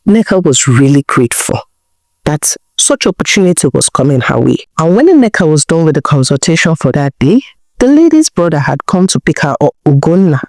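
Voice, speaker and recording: A woman with a Nigerian accent narrating a folktale in a deliberate way, repeating phrases for emphasis. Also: low voice, a little mellow, dry recording. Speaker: storyteller, narrator.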